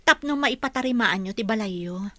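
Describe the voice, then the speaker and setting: Gentle, devout mother, closely recorded woman acting. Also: a little high, animated, normal speed, no room sound. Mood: kindly, earnest. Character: devout, gentle mother, a wife in a family story. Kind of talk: radio drama acting.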